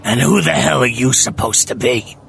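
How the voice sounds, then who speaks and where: An actor playing a gruff, wary criminal enforcer in an audio drama, blunt and suspicious in manner. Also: male voice, medium pitch, moderate pace, a bit bright, soft background music.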